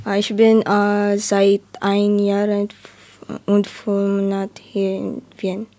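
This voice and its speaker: A woman's voice: medium pitch, completely flat delivery, slow pace, dark tone. A seventeen-year-old young woman from the Philippines chatting casually and hesitantly, searching for words. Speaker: youth radio participant. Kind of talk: casual anecdotal chat.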